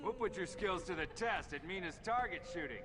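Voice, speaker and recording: An actor playing a brash shooting-range barker in video game street dialogue, with showy, challenging patter. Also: male voice, high voice, expressive, fairly quick, a crowd in the background. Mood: brash, inviting. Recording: game music behind the voice.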